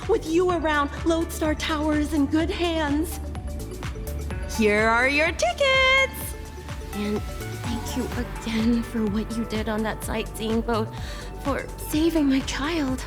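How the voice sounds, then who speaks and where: Eager ticket clerk, high and lively voice, music behind. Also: female voice, slow pace. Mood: gushing. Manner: gushing, eager. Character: grateful excitable female ticket clerk. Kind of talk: game voice acting.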